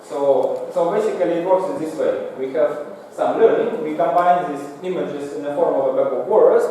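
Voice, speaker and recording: A male lecturer explains technical slides in halting, non-native English. His voice is mellow and sits far from the microphone in a heavily reverberant hall. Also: fairly high pitch. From Singapore. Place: lecture room, indoors. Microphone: far from the mouth, room pickup. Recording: echoey hall, distant mic, faint noise.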